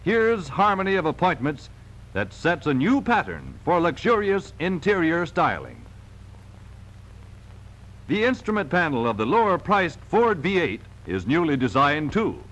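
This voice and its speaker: A man's voice: a little high, big pitch swings, unhurried, a bit bright, low-quality recording. A man reading scripted narration for a 1940s sales film in an emphatic, polished salesman's delivery, on a vintage film soundtrack.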